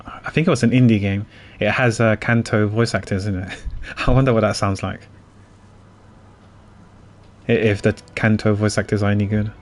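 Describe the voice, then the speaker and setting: A man chatting casually on a game livestream, halting and thinking aloud as he goes. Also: lowish voice, steady tone. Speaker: game streamer. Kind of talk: casual livestream chat while playing. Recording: faint noise, keyboard typing.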